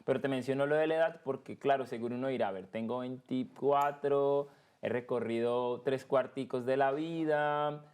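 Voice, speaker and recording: A man with a Colombian accent from Cali, hosting a casual podcast interview and slowing down to stress key words. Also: mid-range voice, smooth. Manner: expressive, slows down to stress words. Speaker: podcast host.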